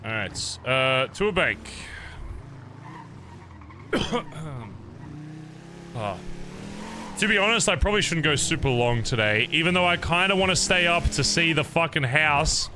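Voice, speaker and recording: A man's voice: a little high, animated, unhurried, pauses often, noisy background. A young man streaming a roleplay game, rambling casually and swearing often. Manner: swears a lot, laid-back and rambling. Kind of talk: casual roleplay chat while gaming.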